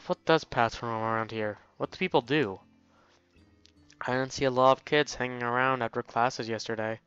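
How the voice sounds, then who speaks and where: A man's voice: mid-range voice, fairly bright tone, smooth, no room sound, lo-fi sound. A man hosting a let's play, reading a visual novel aloud informally, with jokey asides and stumbles over words.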